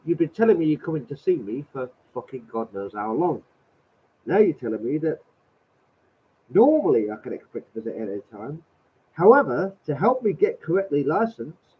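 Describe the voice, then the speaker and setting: A man's voice: fairly high pitch, slightly rough. A man with a cold and a slightly nasal voice, sarcastically commenting as he reads out a letter. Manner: exasperated, sarcastic, swears. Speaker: vlogger reading out a letter. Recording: tinny phone-like audio.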